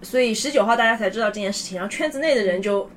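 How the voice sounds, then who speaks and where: A woman's voice: on the high side, rapid-fire. A woman chatting casually as a podcast guest, emphatic and often repeating herself for stress. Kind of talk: casual chat.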